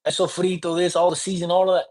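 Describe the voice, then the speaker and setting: A man speaking as a priest, teaching divination in a relaxed conversational way and often checking that the listener follows. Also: sits high, quick pace. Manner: informal, often checks in with "you get me?"; mixes in Spanish words. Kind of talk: casual teaching talk.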